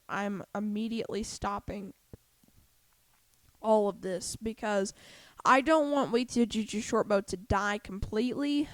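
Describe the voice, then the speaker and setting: A woman's voice: on the high side, dry recording. A woman gaming YouTuber, congested after just waking up, talking casually to her viewers in a rambling, self-correcting way with gamer slang.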